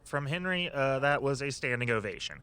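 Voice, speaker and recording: An actor playing an upbeat, supportive member of a walking tour team in an audio drama, encouraging and practical. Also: male voice, medium pitch, animated, brisk, bright, forceful tone, smooth, no echo. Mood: wry, practical.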